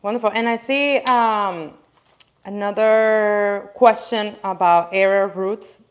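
Young woman cohost, mid pitch, friendly and relaxed, asking the questions in a studio. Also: takes it slowly. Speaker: co-host reading viewer questions. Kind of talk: casual live demo chat. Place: indoor studio.

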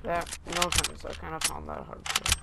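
Stuffy, creaky-voiced gamer, noisy recording. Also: mid-range voice, sing-song, moderate pace. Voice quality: stuffy, congested. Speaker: gaming streamer. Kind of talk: casual gameplay commentary.

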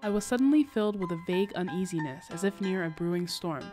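A woman's voice: on the low side, expressive, fast talker, mellow, soft background music, natural voice. A woman reading a novel passage aloud in the first person as an anxious young noblewoman. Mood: uneasy.

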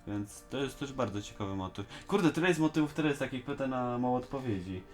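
A man's voice: mid-range voice, expressive, quick pace, faint music behind. A man in a casual fan discussion, speaking colloquially with some slang. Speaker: host of a fan discussion show. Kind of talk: casual chat reviewing an animated series.

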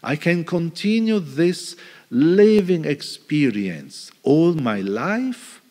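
A middle-aged male priest preaching a homily at a lectern in a large church, reflective, with pauses and restarts. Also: fairly high pitch, sing-song, mellow. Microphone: stand mic at lectern. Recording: reverberant church hall.

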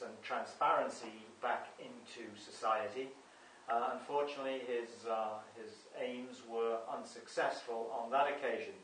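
A man's voice: mid-range voice, a little hiss or hum. Man, distant, echoey living room. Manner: earnest, measured, with frequent fillers and restarts. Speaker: video broadcaster. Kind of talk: scripted-free monologue to camera. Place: living room, sitting on a sofa. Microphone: camera mic from across the room.

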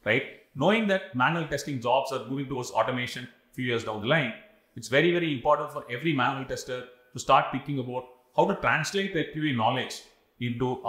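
Fast-talking Indian man in a roomy studio. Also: mid-range voice, a bit bright. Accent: Indian English. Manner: fast, adds frequent "right?" tags. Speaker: co-founder giving career advice. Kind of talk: explanatory talk to camera. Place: studio set styled as a cafe.

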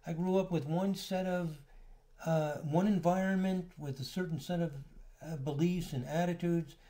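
A man giving a relaxed, explanatory lecture over slides, now and then pausing on filler words. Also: a little high. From United States. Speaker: astrology lecturer.

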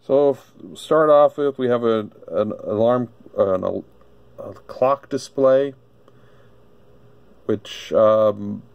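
Male gadget reviewer, casual and hesitant, unhurried delivery, gravelly edge. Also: mid-range voice, a little mellow, muffled recording. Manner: halting, thinking aloud. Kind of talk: casual unscripted product demonstration. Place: indoor electronics workbench.

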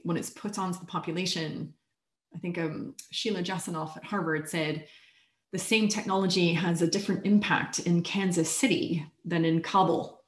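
Wry woman on a roomy video call. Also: on the low side. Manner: animated, wry, laughs lightly between points. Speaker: keynote speaker. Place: home room.

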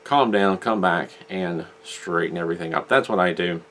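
A man's voice: low-pitched, moderate pace, a bit bright. A man, chatting to camera in a small room as he reviews a model kit and explains each step. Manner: chatty, explains step by step, gestures while talking. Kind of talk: casual review vlog to camera. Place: small indoor room with model-filled shelves.

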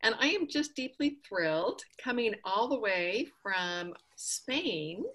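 A woman's voice: mid-range voice, sing-song, slow, fairly bright tone. A woman hosting an online summit, introducing her guest with effusive enthusiasm over a video call. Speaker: host.

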